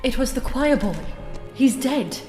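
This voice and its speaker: A woman's voice: high voice, animated, measured pace, a little mellow. A woman playing a wary, earnest young adventurer in a fantasy audio drama. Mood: shaken. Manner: earnest, urgent. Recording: echoey room with music behind.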